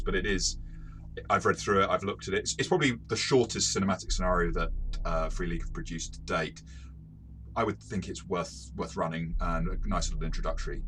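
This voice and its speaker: A man's voice: low-pitched, background noise. A man who reviews tabletop games and runs them himself, chatting casually with some self-correction and joking. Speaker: tabletop game reviewer and game master. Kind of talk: casual two-person review chat.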